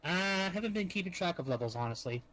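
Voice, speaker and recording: Slightly high-voiced, deliberate young man. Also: lively intonation, a bit bright, a little hiss or hum, poor audio. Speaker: roleplayer. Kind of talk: casual improvised roleplay chat. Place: online virtual-reality chat.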